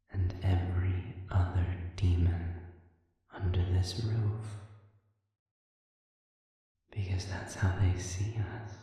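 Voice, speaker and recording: A man playing a sadistic, manipulative demon prisoner in an audio roleplay, speaking in a soft, measured, insinuating murmur with deliberate pauses. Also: low voice. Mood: calm, insinuating. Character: sadistic demon prisoner, calm, manipulative, silkily taunting male. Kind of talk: scripted audio roleplay monologue. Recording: distant, reverberant, echoing chamber sound.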